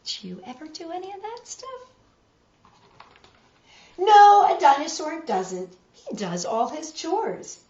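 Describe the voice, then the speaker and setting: A woman's voice: extremely high pitch, very animated, slow, long pauses, darkish tone, reverberant, muffled recording. A woman hosting a children's storytime, reading aloud and chatting directly to young listeners.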